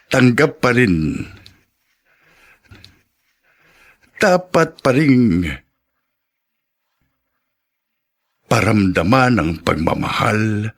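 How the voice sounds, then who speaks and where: Elderly ailing father, slow creaky voice, halting, sentimental, radio drama. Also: lowish voice, exaggerated voice. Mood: tender pleading. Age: older. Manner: halting, repeating phrases, sentimental. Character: ailing, tender old widowed father, teasing and pleading.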